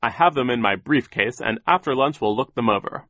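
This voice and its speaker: A man's voice: medium pitch, lively intonation, fairly quick, sharp tone, dry recording, voice pushed up. A man voicing a fussy, earnest banker who explains things formally, in an audiobook story. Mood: officious. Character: proper, fussy male banker, earnest and oblivious.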